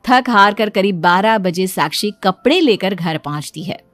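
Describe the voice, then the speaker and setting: A woman's voice: medium pitch, lively intonation, moderate pace, no room sound. A woman narrating a scripted moral story as its storyteller.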